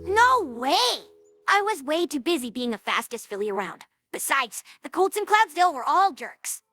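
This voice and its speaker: Brash, loyal young female pegasus pony, very high and swooping, youthful and cocky, informal cartoon dialogue. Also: big pitch swings, moderate pace, pushed and bright, dry recording, soft background music, full character voice. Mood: defensive. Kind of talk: animated cartoon-style audio drama dialogue.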